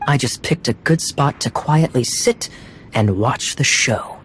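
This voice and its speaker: A man's voice: medium pitch, a little slow, noisy background, lightly acted. A man playing a suave, teasing young knight in a video game, with a smug and playful theatrical delivery. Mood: smug amusement.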